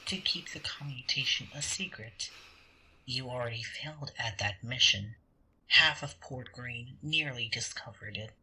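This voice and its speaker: Casting: actor as manipulative angel for sci-fi audio drama; smug, unhurried, heavily breathy, taunting. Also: medium pitch, pushed and bright, full character voice, poor audio. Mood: insistent. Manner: theatrical, condescending, drawn-out, deliberate delivery.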